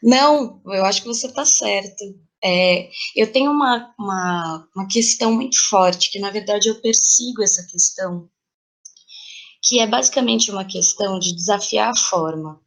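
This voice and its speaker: A woman's voice: medium pitch, normal speed. A woman with a Brazilian accent speaking reflectively and conversationally as a playwright being interviewed. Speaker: playwright guest.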